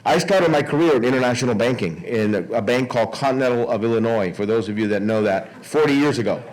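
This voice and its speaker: A man's voice: mid-range voice, fast talker, darkish tone, rough recording. A man giving an informal public speech, often repeating words and restarting as he goes. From Miami, United States. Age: 60s. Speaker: conference speaker.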